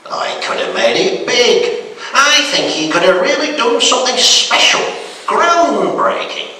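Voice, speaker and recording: Man acting a high, chuckling old Northern granddad. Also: very animated, a little slow, sharp tone, slight background noise, full character voice. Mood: animated, scornful. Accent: Northern English. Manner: rambling, chuckling, colloquial storytelling. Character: gossipy old working-class Yorkshire granddad, farmer, amused. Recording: echoey hall, distant microphone.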